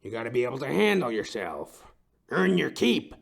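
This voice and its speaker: An actor playing a pompous, blustering older male business tycoon with florid, halting speech in an audio detective comedy. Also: medium pitch, big pitch swings, creaky. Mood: menacing boast. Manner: pompous, florid, hesitant pauses, explosive outbursts.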